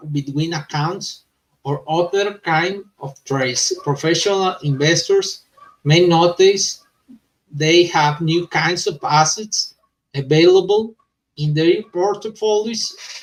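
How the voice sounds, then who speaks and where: A man with a native Spanish speaker's accent hosting a crypto news vlog, reading news and commenting informally in halting, stumbling English. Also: a little high, far from the mic, rough recording.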